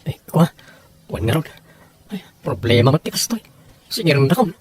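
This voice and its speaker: A man playing a debt-ridden, evasive boyfriend in a Filipino audio drama, coaxing and hurried. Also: a little high, very animated, darkish tone, breathy edge, full character voice. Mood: worried pleading.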